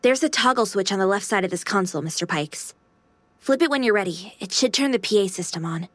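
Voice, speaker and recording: A woman's voice: mid-range voice, lively intonation, brisk, fairly bright tone, no room sound. An actress playing a cute, devoted AI maid girl in a video game, speaking in a polite but teasing, pert manner. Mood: helpful, polite.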